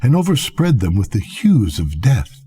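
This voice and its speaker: An older man reading a gothic literary tale aloud as a storyteller, solemn and theatrical, with a husky voice. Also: fairly low pitch, huge pitch swings, mellow. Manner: solemn, theatrical, measured delivery. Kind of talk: dramatic literary audiobook narration.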